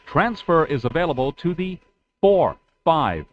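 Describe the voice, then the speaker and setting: Higher-voiced man announcer, animated, dull sound. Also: unhurried. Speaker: transit announcer. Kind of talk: scripted safety announcement.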